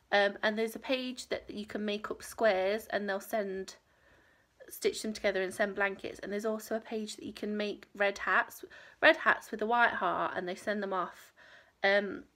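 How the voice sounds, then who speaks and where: A woman's voice: medium pitch, fairly even intonation, moderate pace, pushed and bright. A woman in her thirties chatting casually to camera in a small home craft room, rambling and self-deprecating, sounding a little nasal from a cold. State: stuffy nose, nasal from a cold. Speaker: crochet vlogger.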